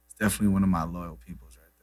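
A man's voice: deep voice, fairly even intonation, racing, a little mellow. A man in his thirties from Brazil, a native Portuguese speaker, chatting casually as a guest musician, laid-back and full of "you know" and "man". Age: 30s. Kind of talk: casual interview chat.

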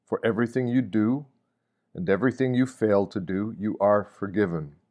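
A man reading a scripted devotional meditation like a sermon, solemn and deliberate, posing rhetorical questions. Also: fairly low pitch, darkish tone. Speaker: reader of a Lenten meditation.

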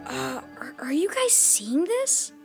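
High-pitched, eager young adventurer. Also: female voice, slow, creaky, soft background music, full character voice. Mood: awed. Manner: slangy, expressive. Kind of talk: children's audio drama acting.

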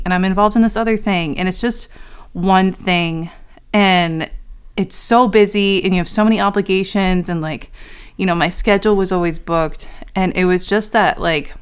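A woman's voice: on the low side, clean tone. A young woman in her twenties, a podcast co-host, chatting casually and laughing often as she talks. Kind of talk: casual chat.

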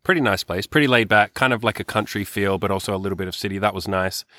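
A man's voice: fairly low pitch, quick pace, bright, forceful tone, no room sound, studio quality. A man with an Australian accent hosting a podcast, chatting casually with a loose, sweary, filler-heavy way of talking. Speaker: podcast host. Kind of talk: casual chat.

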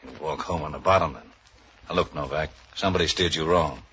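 An actor playing a suspicious, bullying ship's captain in a 1940s radio crime drama, gruff, curt and commanding. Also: male voice, a bass voice, fairly quick, a bit bright. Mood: cold, menacing. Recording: dull, noisy old radio recording.

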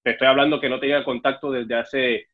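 A man's voice: mid-range voice, flat delivery, fast talker. A young Cuban-born man with a Mexican Spanish accent, telling his story as an interview guest and acting out the dialogue. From Cuba. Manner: storytelling, acts out dialogue with raised voice. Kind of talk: casual interview chat. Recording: dull muffled audio.

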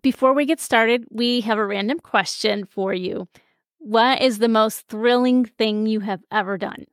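A woman hosting a faith podcast, narrating an introduction and then asking friendly, measured interview questions. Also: on the high side, dry recording, studio quality.

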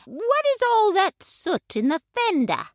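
A woman voicing a brisk, matter-of-fact neighbor cat. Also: very high voice, sing-song, dry recording, studio quality, pitched above the performer's own voice, exaggerated voice.

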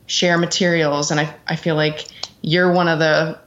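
A woman's voice: low voice, little pitch movement, normal speed, fairly bright tone. A middle-aged American woman giving earnest, reflective answers as an interview guest in a conversational way. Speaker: interview guest, executive assistant. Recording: dull, lo-fi sound.

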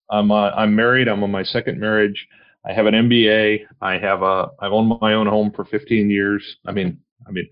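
Male guest, forties, lowish voice, rambles, dull lo-fi audio. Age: 40s. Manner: rambling, many restarts and fillers. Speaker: podcast guest. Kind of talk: casual conversation. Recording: dull lo-fi sound.

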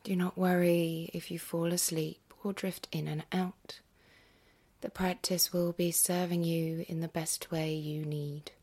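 A woman leads a guided meditation in a lowish, breathy voice that often drops close to a whisper. Her delivery is very slow and gentle, with long pauses. There is a noticeable creak in her soft, soothing tone. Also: level tone. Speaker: yoga nidra meditation guide.

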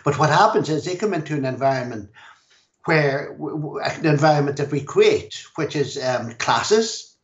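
A middle-aged man with an Irish accent, a language school director, explaining things in a measured way in a podcast interview. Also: fairly high pitch, lively intonation, highs cut off. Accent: Irish, Donegal. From Ireland.

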